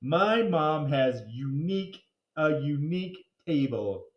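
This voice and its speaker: A man voicing a cheeky, boastful second-grade boy from a children's storybook, excitable and teasing. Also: fairly high pitch, slow pace, muffled recording. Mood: gloating. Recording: roomy, echoing sound.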